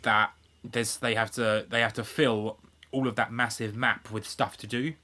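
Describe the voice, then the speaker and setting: A man's voice: mid-range voice, little pitch movement, normal speed, pushed and bright. A British man co-hosting a podcast in casual chat, speaking in a laid-back, sweary way with lots of "like". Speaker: podcast co-host. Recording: internet call recording.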